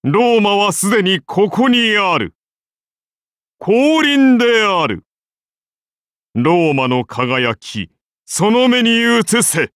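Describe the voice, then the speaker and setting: Casting: divine Roman king and lancer, anime game. Grand, solemn declamation, extremely unhurried, lively intonation. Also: high-pitched, no room sound, studio quality, heavily acted. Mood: solemn, majestic. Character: godlike male founder-king of Rome, majestic lancer warrior. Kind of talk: anime game battle voice lines.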